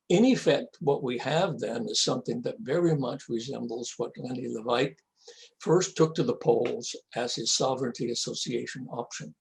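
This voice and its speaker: Gravelly-voiced man giving earnest answers. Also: medium pitch, rough recording. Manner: earnest, deliberate, with frequent restarts. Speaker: association president, guest. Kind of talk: interview answers.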